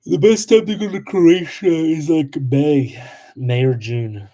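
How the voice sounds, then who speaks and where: Young male livestream host, casual, filler-heavy, mellow tone. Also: on the high side, takes it slowly, rough recording. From Polish. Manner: chatty, lots of "like", blunt toward trolls. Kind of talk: casual livestream Q&A, reading comments.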